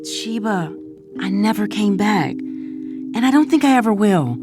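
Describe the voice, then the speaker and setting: An actress plays a guarded middle-aged wife and mother in an audio drama. The woman is emphatic and exasperated, her pitch moving in lively swings. Clear music plays under her lines. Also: unhurried, natural voice. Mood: guarded, resigned.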